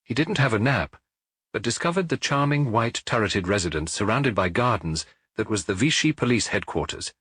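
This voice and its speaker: A man's voice: on the low side, animated, moderate pace, a bit bright, breathy edge, no echo. A man reading a detective novel aloud as an audiobook narrator, in a scripted literary style.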